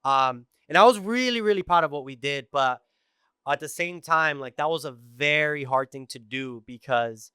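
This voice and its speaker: A middle-aged man from Tijuana and East Los Angeles tells stories in a bright, crisp, fairly high voice. He talks casually and with energy, full of "you know" and "like". The recording is close and dry. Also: dry recording. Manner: animated storytelling with many fillers. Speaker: guest. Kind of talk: casual podcast conversation.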